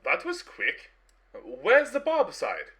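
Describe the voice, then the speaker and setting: A man playing a bossy, touchy barbershop owner in a radio play. His high voice leaps around wildly in pitch, brusque and exasperated. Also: wildly swooping pitch, slow pace, sharp tone, exaggerated voice. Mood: impatient.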